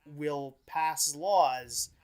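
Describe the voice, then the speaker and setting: Higher-pitched man, choppy casual analysis. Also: very slow, darkish tone, smooth. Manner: hesitant, choppy, often restarting his sentences. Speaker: podcast co-host.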